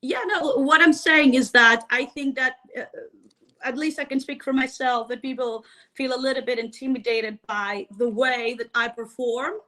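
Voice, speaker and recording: Woman, Greek accent, metal vocalist guest, casual chat, laughs a lot, bright voice. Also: high voice, steady tone, moderate pace, poor audio. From Greece. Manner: chatty, laughs often, frequent fillers. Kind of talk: casual live-stream interview.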